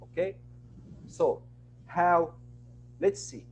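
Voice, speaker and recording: Slow, patient male teacher. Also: sits high, slight background noise. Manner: patient, repeats words and examples. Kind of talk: online class lesson. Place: online class.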